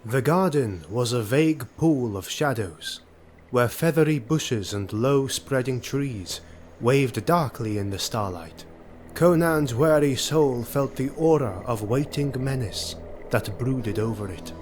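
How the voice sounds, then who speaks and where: A man narrating a fantasy audio drama in a measured, suspenseful scripted style. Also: medium pitch, animated, a little mellow, slight background noise. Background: music.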